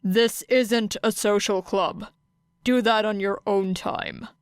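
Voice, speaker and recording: Woman as a slow, deadpan male teacher. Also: mid-range voice, clear, resonant tone, no echo, clean studio sound, close to an everyday voice. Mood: dry, curt. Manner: deadpan, curt. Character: stern, weary, deadpan adult male teacher.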